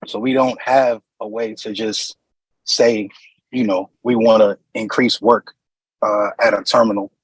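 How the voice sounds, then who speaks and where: A husky man reads a prepared public comment over a remote call. His voice sits in a middle register, and the audio is close and dry. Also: on the low side, flat delivery, measured pace, no echo, rough recording. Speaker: union representative giving public comment.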